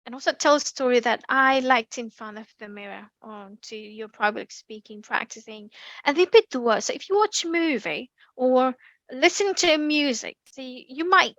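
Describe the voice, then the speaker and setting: A young woman with an Indonesian accent, giving a lively online class talk with many ums and restarts. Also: high-pitched, moderate pace, dry recording, dull audio. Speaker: community speaking presenter. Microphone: computer mic on a video call.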